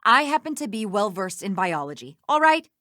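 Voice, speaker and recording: A haughty female attorney and game villain. Her medium-pitched voice is dryly recorded and drips with condescension. She is defensive, sarcastic and dismissive. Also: sing-song, moderate pace, pushed and bright, no room sound, studio quality. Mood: defensive, sharp. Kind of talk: scripted video game voice acting.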